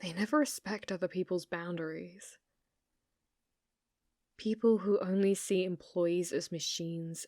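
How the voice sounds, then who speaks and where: A woman performs a sweet British shop clerk for an ASMR roleplay, with an obsessive streak under the shyness. She speaks slowly and softly in a hesitant, solicitous way, very close and dry with no room sound. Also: lowish voice, breathy edge, no echo. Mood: sympathetic. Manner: hesitant, apologetic, solicitous, with soft giggles. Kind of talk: soft-spoken ASMR roleplay acting.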